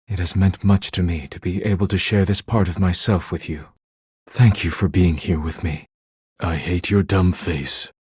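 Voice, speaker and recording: A man playing a proud, thin-skinned poetic living dish in a video game, speaking in a formal, deliberate, grave manner. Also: low-pitched, a little mellow, husky, no echo, studio quality, heavily acted. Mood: wounded, cold. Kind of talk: video game character acting.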